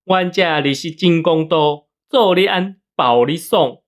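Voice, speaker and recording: Man putting on a glib young Taiwanese gangster salesman, pitched up. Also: a little slow, lightly acted. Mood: oily, eager. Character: slick young gangster loan salesman, eagerly obliging. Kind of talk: radio drama acting.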